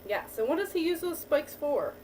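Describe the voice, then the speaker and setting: A woman teacher reading a picture book aloud to a child, pausing to ask questions and praise the answers. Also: high-pitched, sing-song, a little mellow. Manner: patient, encouraging, asks and praises. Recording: distant mic with faint background noise.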